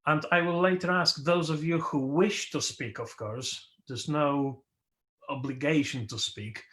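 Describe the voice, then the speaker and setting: A man whose first language is Polish, leading a worship service as its host and speaking slowly and reflectively, with emphatic rises, over a video call. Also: a little high, fairly even intonation, fairly bright tone. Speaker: worship leader. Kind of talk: sermon.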